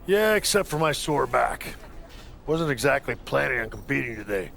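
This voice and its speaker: Veteran wrestler mentor, game cutscene. Very gravelly, dry wit, proud, faint noise behind. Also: male voice, mid-range voice, very animated, normal speed, fairly bright tone. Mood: wry, grudging respect. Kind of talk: video game cutscene acting.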